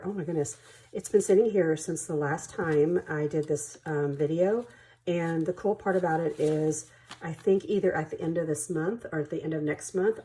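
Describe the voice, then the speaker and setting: A woman with a somewhat low voice chats casually to her viewers about saving money. She sounds stuffy and sniffly from allergies, apologizes easily, and counts bills aloud in a relaxed home vlog. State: congested with allergies, sniffling and coughing. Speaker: budgeting channel host.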